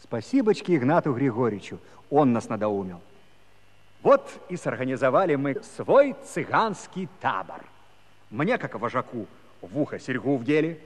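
Sly villager, theatrical musical drama, mid-pitched, animated, reverberant. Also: male voice. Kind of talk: theatrical storytelling in a musical radio play. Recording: echoey hall.

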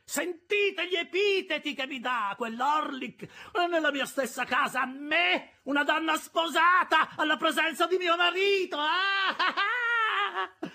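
A man's voice: very high-pitched, a little slow, creaky, sharp tone, pitched above the performer's own voice, heavily acted. A man voicing a hysterical, scolding married woman in a fury, shrieking with indignation and scorn in an audiobook. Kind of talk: audiobook character voice.

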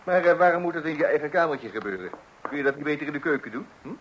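A man's voice: a little high, expressive, very fast, muffled recording, slight background noise, natural voice. An actor playing a fussy father who hesitates and blusters while scolding his kids. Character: fussy middle-aged father.

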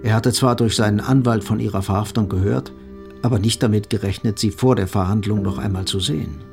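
A man's voice: fairly low pitch, fairly quick, gravelly, darkish tone. A man narrating a scripted German audio drama as its storyteller. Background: music.

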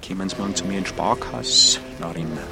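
Evasive bureaucratic bank clerk, low-pitched, fry in the voice, placating, radio drama. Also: male voice, fast talker. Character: evasive, officious savings bank clerk putting off a customer. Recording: music behind the voice.

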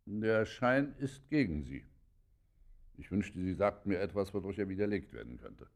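An actor playing a pompous, officious district magistrate in a German radio play, speaking with formal courtesy and measured bureaucratic authority. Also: male voice, sits low, sing-song, creaky, exaggerated voice. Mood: formally courteous, warning.

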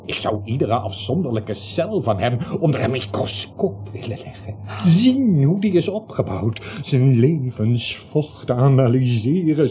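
An actor playing an arrogant, coldly obsessive doctor in a radio play, pompous, deliberate and condescending. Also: male voice, fairly high pitch, dark tone. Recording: muffled old radio recording, faint hiss.

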